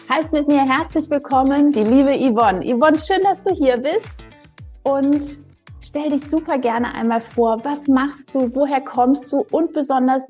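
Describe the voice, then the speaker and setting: A woman's voice: sits high, a little mellow, breathy edge. A woman hosting a podcast, interviewing her guest in an enthusiastic, chatty way. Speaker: podcast host. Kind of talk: casual interview.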